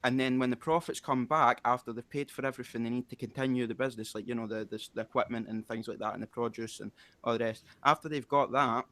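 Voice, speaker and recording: A man's voice: medium pitch, steady tone, fast talker, a bit bright, dry recording. A man arguing his point in a casual online debate, rambling and restarting often. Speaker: debate participant.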